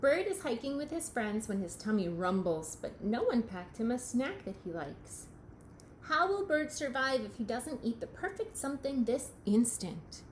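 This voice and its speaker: A woman teacher reading a picture book aloud to young children, expressive and questioning. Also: fairly high pitch, a little hiss or hum, poor audio. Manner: expressive, pauses to question the listener.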